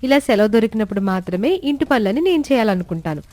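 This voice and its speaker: A woman's voice: mid-range voice, expressive, very fast, darkish tone, no room sound, lightly acted. A woman voicing a cheerful, candid young working daughter-in-law in a family story.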